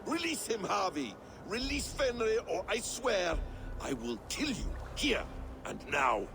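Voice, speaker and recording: An actor playing a bitter, cunning trickster god in a video game, speaking with theatrical menace. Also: male voice, high-pitched, sing-song, unhurried, over music, full character voice. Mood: threatening, bitter. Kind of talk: video game cutscene acting. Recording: noisy game stream capture.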